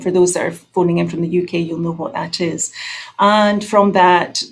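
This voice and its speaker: A woman with a Scottish accent, in her fifties, talking in a chatty, self-deprecating way. Her voice sits low with a gravelly edge, heard through a video call. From Scotland. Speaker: guest nurse researcher.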